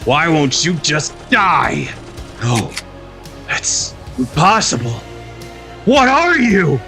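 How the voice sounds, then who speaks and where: A man's voice: fairly high pitch, big pitch swings, slow, sharp tone, exaggerated voice. A man playing a vicious, panicky space pirate in a comic drama, snarling melodramatically over music. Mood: furious, panicked. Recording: music under the voice.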